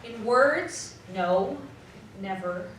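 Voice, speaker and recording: A woman's voice: medium pitch, lively intonation, a bit bright. A woman playing a sorrowful, earnest young fiancée in formal period dialogue for a live radio drama. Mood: grieving, resolute. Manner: earnest, measured, formal phrasing. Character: sorrowful young fiancée releasing her money-obsessed lover. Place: live community theatre stage. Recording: very echoey live hall, distant, faint noise.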